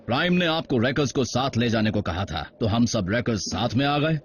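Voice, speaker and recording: Man as a wry, mid-pitched robot fighter. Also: expressive, brisk, close to an everyday voice. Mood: defiant, wry. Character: cocky, defiant veteran robot fighter, loyal to comrades. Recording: dull sound with background noise.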